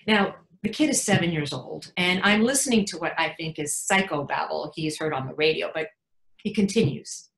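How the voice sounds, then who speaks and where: A woman playing an anxious, protective mother in a frank, wry, confiding dramatic monologue, pausing for emphasis. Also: sits low, creaky, fairly bright tone. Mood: worried. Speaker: actor in a readers theatre performance. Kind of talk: dramatic stage monologue, conversational storytelling. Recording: echoey room sound.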